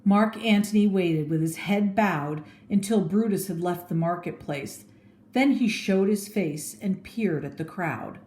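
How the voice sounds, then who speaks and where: A woman's voice: fairly low pitch, a little slow, a little mellow. A woman reading a story aloud expressively, like a teacher with a read-along. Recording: echoey room.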